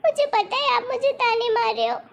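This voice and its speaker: A woman's voice: very high-pitched, brisk, gravelly, a little hiss or hum. A woman voicing a dramatic, insistent young wife in an amateur cartoon story, with a whiny manner. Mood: remorseful, pleading.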